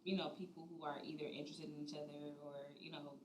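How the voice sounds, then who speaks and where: A woman's voice: deep voice, little pitch movement, fast talker, roomy sound, background noise. A woman in her twenties, a dinner guest, explains her view earnestly with lots of "like". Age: 20s. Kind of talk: casual dinner-table chat. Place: dining room at a dinner table.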